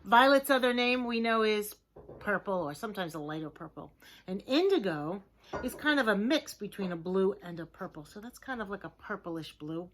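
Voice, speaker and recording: A woman teaching young children in a lesson to camera, speaking simply and encouragingly with deliberate, emphatic phrasing. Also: medium pitch, lively intonation, slight background noise.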